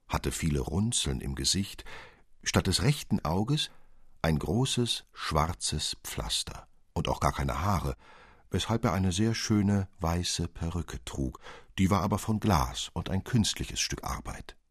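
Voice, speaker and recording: A male narrator with a very low, husky voice reads a literary fairy tale. His storytelling is measured yet expressive, recorded close and dry with no room sound. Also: dry recording.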